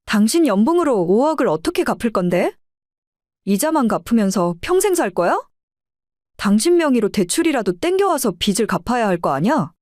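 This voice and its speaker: A woman's voice: a little high, sing-song, normal speed, darkish tone, no echo, clean studio sound. A woman playing a fed-up, assertive wife confronting her family in an audio drama. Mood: angry.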